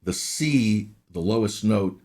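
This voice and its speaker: Give me a low, husky-voiced man in his sixties who chats as an interview guest and explains things at length. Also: lively intonation, slow pace, a little mellow. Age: 60s. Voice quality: raspy. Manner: explains at length, with many restarts. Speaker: guest, ghost hunter and recording engineer. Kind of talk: casual interview chat.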